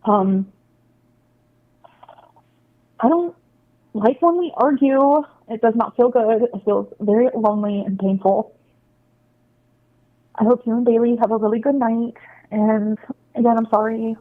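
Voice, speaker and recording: A woman leaving a halting, pleading apology on voicemail, sounding anxious and close to tears. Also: fairly high pitch, steady tone. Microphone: phone. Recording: tinny, narrow-band phone voicemail.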